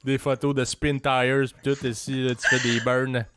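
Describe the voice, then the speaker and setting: A man's voice: mid-range voice, flat delivery, normal speed, heavy background noise. A young man with a Québécois French accent, co-hosting a podcast in casual chat, joking and swearing freely. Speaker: podcast co-host.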